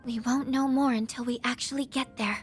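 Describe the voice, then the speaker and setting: A woman's voice: a little high, fairly even intonation, brisk, faint music behind, natural voice. An actress voicing an earnest young female scout in a video game, careful in her reports. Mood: alert.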